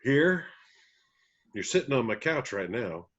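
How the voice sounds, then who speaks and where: A man reading the part of a laconic, rootless country musician in a table read, terse and folksy, with a husky voice. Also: medium pitch, sing-song, measured pace, highs cut off. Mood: guarded.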